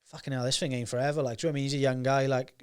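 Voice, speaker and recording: A man's voice: mid-range voice, little pitch movement, racing, clean tone. A young man hosting a podcast, chatting casually and agreeably, often ending with tag questions. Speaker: podcast host. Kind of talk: casual interview chat.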